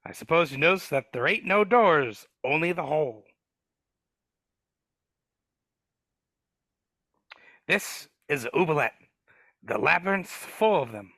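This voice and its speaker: A man's voice: a little high, expressive, normal speed, pushed and bright, no echo, clean studio sound, full character voice. A man doing a grumbly sly dwarf guide. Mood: grumbling. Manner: hesitant, stammering, wheedling. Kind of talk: live dramatic table read over video call. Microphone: video call mic.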